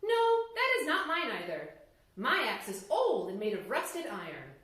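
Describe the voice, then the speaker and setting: Lady doing a humble honest woodcutter guy from a fairy tale, high n flustered, echoey room. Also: huge pitch swings, unhurried, a bit bright, heavily acted. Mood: honest, apologetic. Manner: earnest, high-pitched, flustered. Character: honest, humble, hard-working male woodcutter.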